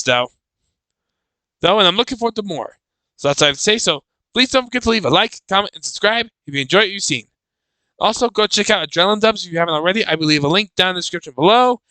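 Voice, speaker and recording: A man with a high, clean voice hosts a casual reaction video. His tone is very bright and the sound is dry and close. He thinks aloud haltingly, circling back over his own phrases. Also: expressive, clear, resonant tone, no echo.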